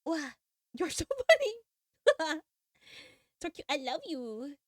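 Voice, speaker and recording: A woman's voice: sits high, very animated, slow pace, no echo, studio quality. A young woman streamer with a stuffy cold nose, bubbly and giggly as she chats and reads a game story aloud. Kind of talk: casual chat and reading a visual novel aloud.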